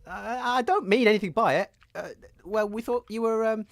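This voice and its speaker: A performer playing an awkward radio interviewer in a comedy audio drama, halting and tactful. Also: medium pitch, gravelly, no echo, natural voice. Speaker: show host.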